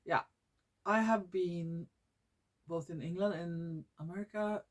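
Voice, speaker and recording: A woman from Norway with a Norwegian accent, hosting her own vlog in English, which is not her first language, often pausing to search for words and restarting her sentences. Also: fairly low pitch, fairly even intonation. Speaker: YouTube channel host. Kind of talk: casual vlog monologue.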